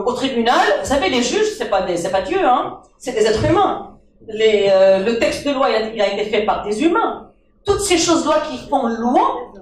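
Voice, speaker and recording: A middle-aged woman speaking from the audience at a public debate, emphatic and questioning, into a handheld mic. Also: on the high side. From Morocco. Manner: emphatic, questioning, with rhetorical asides. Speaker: audience member. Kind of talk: impassioned debate contribution. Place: crowded indoor meeting room. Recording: echoey room from far off.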